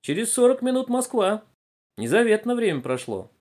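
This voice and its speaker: A man's voice: high voice, very animated, normal speed, a little mellow, pitched above the performer's own voice. A man voicing a coolheaded little old man in a story, dry and unruffled. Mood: dry irony.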